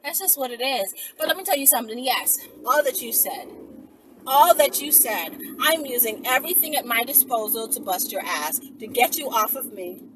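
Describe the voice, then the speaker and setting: High-pitched, emphatic woman vlogging in a car. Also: normal speed, far from the mic. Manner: emphatic, profane, animated storytelling. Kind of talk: casual rambling monologue. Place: inside a car while driving. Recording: noisy car, voice away from mic.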